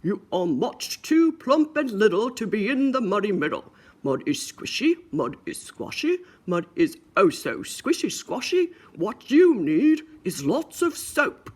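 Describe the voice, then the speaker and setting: A stern, fuddy-duddy father pig, played by a woman reading a storybook. The scolding is loud and emphatic, swinging widely in pitch with a husky edge. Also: big pitch swings, measured pace.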